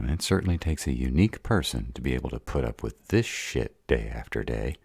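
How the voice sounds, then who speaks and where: A man plays a short-tempered were-dragon with an extremely deep, creaky growl of a voice. He is gruff, sarcastic and protective, grumbling with wide swings in pitch, in an audio roleplay. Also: lively intonation, moderate pace, a little mellow, dry recording, heavily acted. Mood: grumbling, weary. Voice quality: deep, gravelly.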